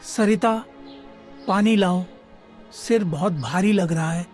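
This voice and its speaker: A man's voice: high-pitched, slow pace, darkish tone, soft background music. A man voicing a weary, caring village father in a cartoon moral story, plain in manner.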